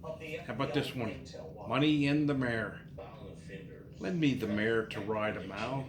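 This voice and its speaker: Slow-reading dad in an echoey room. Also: male voice, medium pitch, crowd noise. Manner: sing-song, unhurried, pausing and repeating lines. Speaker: father reading to his child. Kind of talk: reading nursery rhymes aloud from a book.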